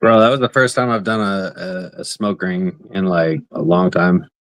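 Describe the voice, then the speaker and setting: A relaxed man with a lowish voice co-hosting a paranormal chat, mostly agreeing and throwing in short remarks. He sounds easygoing and unhurried. The sound is close and dry. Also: dry recording. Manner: laid-back, agreeable, short interjections. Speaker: podcast co-host. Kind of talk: casual chat.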